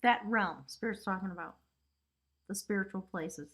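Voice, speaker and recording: A woman's voice: mid-range voice, moderate pace, a bit bright. A woman giving a casual spiritual tarot reading, talking chattily with lots of "you know" and "right?" asides. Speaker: tarot reader.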